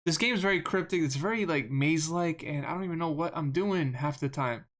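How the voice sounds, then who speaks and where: A game streamer with a monotone voice, rambling through live gameplay commentary, self-deprecating and often repeating words. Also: medium pitch, a little fast.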